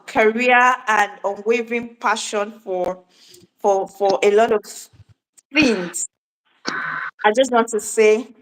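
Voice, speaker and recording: A woman hosting an interview, speaking haltingly with many fillers and restarts. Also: mid-range voice, low-quality recording. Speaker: host. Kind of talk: interview introduction and questions.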